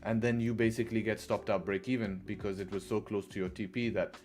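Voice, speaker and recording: A man's voice: lowish voice, fairly even intonation, fast talker, a little mellow, faint music behind. Chatty man hosting a trading stream. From England. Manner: chatty, repeats phrases, answers chat questions. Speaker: livestream trading host. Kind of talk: casual live-stream chat.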